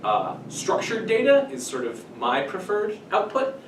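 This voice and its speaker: A man's voice: high voice, wildly swooping pitch, a little slow. A man in his thirties giving an informal introductory lecture in a conversational, explanatory way, recorded by a distant camera microphone in a small meeting room. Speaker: workshop presenter. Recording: echoey room from afar, noisy.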